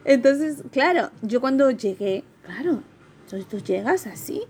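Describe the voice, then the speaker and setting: A middle-aged woman from Peru, with a Peruvian accent mixed with Spanish ones, telling stories in a casual interview, lively, swearing freely and laughing often. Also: high voice, unhurried, a little hiss or hum. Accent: Peruvian Spanish mixed with Andalusian and Madrid Spanish. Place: Seville, Spain.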